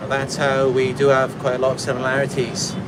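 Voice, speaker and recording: A man's voice: mid-range voice, completely flat delivery, brisk, heavy background noise. A Welsh man from Wales, a channel host, reading out viewer comments and replying in a reflective, casual way with fillers and restarts. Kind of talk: casual talk to camera.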